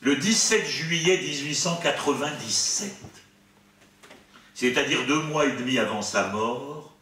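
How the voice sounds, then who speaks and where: Priest speaking in a very echoey room. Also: male voice, mid-range voice, a little slow, pushed and bright. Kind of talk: spiritual conference, commenting and reading out quotations. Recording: echoey room, distant mic, faint noise.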